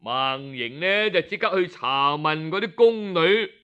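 A man with a Cantonese accent telling a story in a traditional oral style, colloquial and expressive, with drawn-out particles. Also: on the high side, clean tone, muffled recording.

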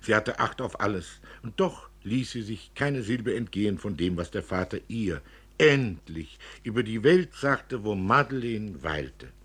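A man with a low, husky voice reads literary prose aloud as its author. His delivery is highly expressive, rising and falling widely across each phrase. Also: a little slow. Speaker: author reading from his own novel.

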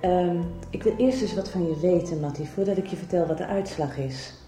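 A woman acting a calm, frank doctor in a radio soap. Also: lowish voice, fairly quick, music in the background, close to an everyday voice. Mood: frank, firm. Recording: echoey room, faint noise.

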